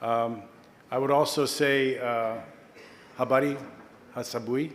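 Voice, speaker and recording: A middle-aged man from Kenya moderating a conference panel, formal and measured, with frequent fillers and self-corrections. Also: medium pitch, steady tone, a little hiss or hum. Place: large conference hall. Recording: long hall reverb.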